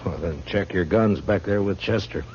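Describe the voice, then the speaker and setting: Very deep-voiced, terse frontier marshal played by a man. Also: darkish tone, close to an everyday voice. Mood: dry, warning. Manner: terse, dry, deliberate. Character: grim, laconic frontier marshal in the Old West. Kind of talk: 1950s radio western acting. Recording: muffled, noisy 1950s radio recording.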